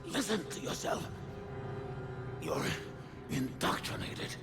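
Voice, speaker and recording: A wounded, stubborn old soldier. He speaks very slowly and haltingly, gruff and strained, with a gravelly creak. It is dramatic video game acting under loud music. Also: on the high side, huge pitch swings, heavily acted. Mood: strained, pleading.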